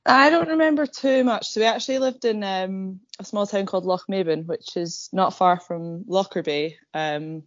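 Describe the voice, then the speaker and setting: A Scottish woman in her thirties, an athlete guest in an interview, slightly croaky, talking casually with many pauses and fillers. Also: medium pitch, no echo, highs cut off. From Scotland. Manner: relaxed, many ums, light laughs.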